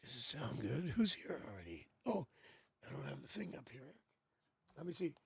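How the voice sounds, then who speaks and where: A man with a raspy voice hosting a casual live tarot stream, chatting playfully and joking through a new studio microphone. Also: mid-range voice, big pitch swings, normal speed, crowd noise.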